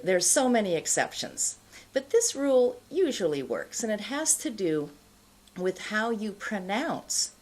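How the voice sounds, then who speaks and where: Slow, lively American woman teaching pronunciation. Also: lowish voice. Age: middle-aged. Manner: deliberate, careful enunciation, pausing between words. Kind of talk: instructional lesson to camera. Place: indoor studio.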